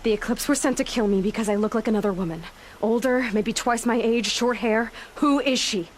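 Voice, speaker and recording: An actress playing a determined young huntress in a video game, serious and measured, stern yet compassionate. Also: female voice, on the high side, a little hiss or hum, natural voice. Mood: stern, questioning. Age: young adult. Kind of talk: dramatic video game acting.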